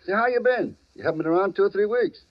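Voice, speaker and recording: Actor as folksy high-pitched old shopkeeper. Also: male voice, natural voice. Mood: friendly. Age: older. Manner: folksy, chatty, halting as he remembers. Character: friendly, forgetful older shopkeeper called Pop. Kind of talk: 1940s radio drama acting. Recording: dull old radio recording.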